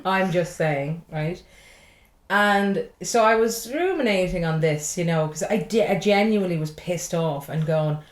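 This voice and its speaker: A woman's voice: on the low side, measured pace, roomy sound. An Irish woman who is a stand-up comedian, chatting casually on a podcast, swearing freely and rambling from joke to joke. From Ireland.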